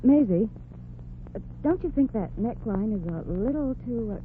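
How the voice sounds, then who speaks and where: A woman's voice: on the high side, animated, moderate pace, slight background noise, natural voice. An actress playing a friendly, chatty young department store saleswoman, in 1940s radio comedy style. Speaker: store clerk. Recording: tinny old radio broadcast.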